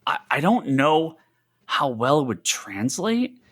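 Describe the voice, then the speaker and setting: A man co-hosting a casual comics podcast, talking haltingly, repeating words and acting out his own reactions. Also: a little high, big pitch swings, a bit bright. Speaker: podcast co-host.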